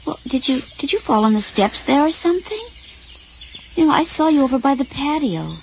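Flustered neighbor lady, high lively voice, bossy but kind underneath, radio drama, bit lo-fi. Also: a little fast, slight background noise. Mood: gentle, concerned. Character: flustered suburban woman, scolding but soft-hearted. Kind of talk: naturalistic audio drama acting.

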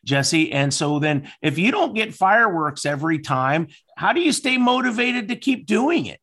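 A man's voice: sits high, big pitch swings, moderate pace, a bit bright. Encouraging male podcast host. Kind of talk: casual interview talk.

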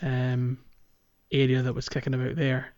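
A dull, very dry close recording of a man with a mid-pitched voice. He co-hosts a casual podcast, explaining things in a halting, conversational way. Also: completely flat delivery, darkish tone, dry recording. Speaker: podcast co-host. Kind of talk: casual game-walkthrough podcast chat.

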